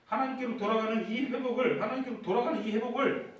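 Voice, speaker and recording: A man preaching in a church sanctuary, his voice sitting unusually high. He keeps putting questions to the congregation and climbs into fervent, shouted prayers. The sound is very echoey and comes from some way off the mic. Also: fairly even intonation, quick pace, muffled recording. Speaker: pastor. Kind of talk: sermon. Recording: echoey church hall heard from far off.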